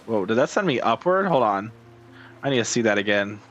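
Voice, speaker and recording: A man's voice: lowish voice, sing-song, brisk, a bit bright, lo-fi sound. A man giving casual gameplay commentary as a streamer, muttering to himself as he plays. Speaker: video game streamer. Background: game music.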